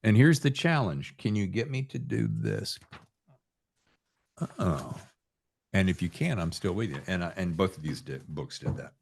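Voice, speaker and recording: A man's voice: sits low, big pitch swings, moderate pace, darkish tone, slight background noise. A middle-aged man hosting a casual podcast chat, rambling and salty-tongued as he tells his stories. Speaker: podcast host.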